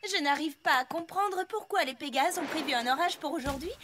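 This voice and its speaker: A woman's voice: high-pitched, fairly quick, background noise, close to an everyday voice. A woman voicing a refined, fussy unicorn mare in a cartoon dub, prim and theatrical in her complaints. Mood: haughty. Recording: loud cartoon music behind.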